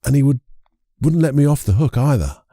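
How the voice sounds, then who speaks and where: A man with a croaky voice hosting a casual solo podcast, chatty and rambling, correcting himself as he goes. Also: medium pitch, lively intonation, fairly quick, mellow, no echo, clean studio sound. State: croaky voice from a lingering cold. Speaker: podcast host and hypnotherapist.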